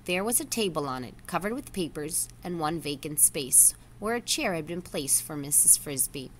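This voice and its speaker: A woman's voice: low-pitched, animated, moderate pace, a bit bright, slight background noise. A woman reading a children's novel aloud as a story reader, expressively voicing the dialogue within the narration.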